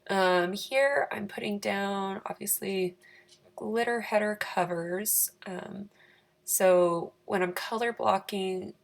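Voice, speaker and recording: A woman with a raspy, croaky voice giving a chatty, self-deprecating, casual craft voice-over for her planner channel. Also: medium pitch, takes it slowly.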